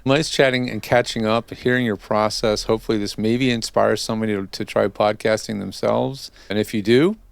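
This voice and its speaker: A man with a lowish voice and a gravelly edge, speaking as an interview host. His manner is easygoing and jokey, like a casual chat between colleagues. The sound is close and dry. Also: fairly even intonation, brisk, hardly any pauses, dry recording. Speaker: interview host and audio consultant.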